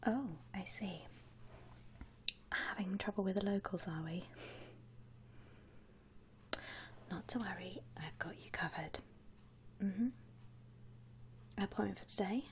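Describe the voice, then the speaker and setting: A woman playing a friendly, attentive saleswoman in a whispered ASMR roleplay, speaking softly in soothing customer-service patter with endearments. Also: mid-range voice, measured pace, pauses often, background noise, lightly acted. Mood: attentive, reassuring. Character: friendly attentive saleswoman at a vampire coffin shop. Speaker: ASMR performer.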